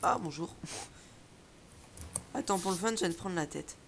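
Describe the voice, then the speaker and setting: A man chatting casually while playing a video game, relaxed and laughing often, trailing off with fillers. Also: high voice, moderate pace, gravelly, slightly rough. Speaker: YouTube gamer. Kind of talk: casual gaming chat. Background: faint keyboard typing.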